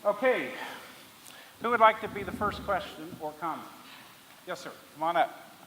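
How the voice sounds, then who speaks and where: A man running a public meeting, speaking in a measured, preacherly way to the audience. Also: sits high, animated, fairly bright tone. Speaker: meeting facilitator. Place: large public meeting hall. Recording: reverberant hall, faint hiss.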